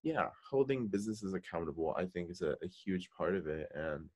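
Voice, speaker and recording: A man's voice: low voice, lively intonation, a little fast, darkish tone, husky. A young American man hosting a podcast, chatting casually and feeling his way through questions and thoughts. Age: young adult. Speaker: podcast host. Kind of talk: casual interview chat.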